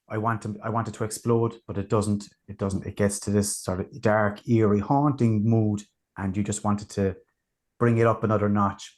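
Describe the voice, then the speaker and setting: A man hosting a casual music review chat, talking in a relaxed, chatty way with plenty of fillers. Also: fairly low pitch. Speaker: podcast host.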